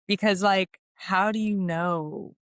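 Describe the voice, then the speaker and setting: A woman's voice: mid-range voice, steady tone, takes it slowly, no room sound, studio quality. A young American woman from New York chatting casually as a podcast guest, informal and conversational with lots of "like". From New York, United States. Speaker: interview guest, actress.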